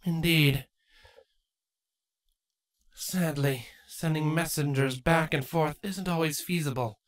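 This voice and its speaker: A woman voicing a stern changeling queen and mother in a storybook reading, measured, formal and commanding. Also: sits low, voice pushed up.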